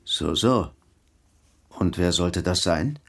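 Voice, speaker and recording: Stern older puritan father, period radio drama. Formal, easily scandalised, animated intonation. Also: deep voice, takes it slowly, vocal fry, exaggerated voice. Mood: sceptical, scandalised. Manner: stiff, formal, disapproving. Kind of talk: radio drama dialogue, period literary adaptation.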